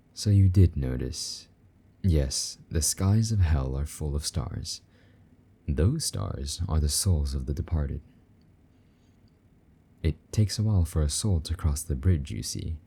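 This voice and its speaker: Man acting as a male demon boyfriend, extremely low pitch, husky, soft and coaxing, possessive yet tender, intimate fantasy audio roleplay. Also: animated, full character voice. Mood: soft, reassuring. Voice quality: very deep, dark, husky. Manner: soft, slow-burning, gently coaxing endearments.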